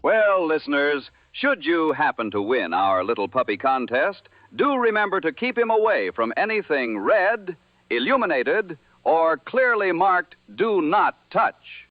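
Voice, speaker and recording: A man playing a wry, musing 1960s radio host with measured, theatrical phrasing on a dull vintage broadcast. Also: a little high, sing-song, dry recording, faint music behind. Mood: smooth announcing. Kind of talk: scripted 1960s radio show banter.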